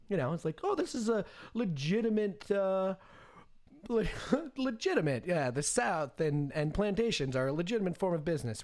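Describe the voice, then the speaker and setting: A man in his thirties with an American accent and an average-pitched voice talks off the cuff, like a streamer commenting on current events. His delivery is casual and irreverent, with a sarcastic edge and plenty of loose swearing. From United States. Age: 30s. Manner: irreverent, sarcastic, profanity-laced.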